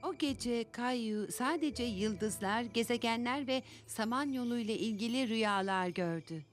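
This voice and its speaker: Casting: woman as warm narrator for a preschool cartoon, storybook delivery, fairly high, light music bed. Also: measured pace, natural voice. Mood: storytelling. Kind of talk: scripted children's story narration. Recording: faint music behind.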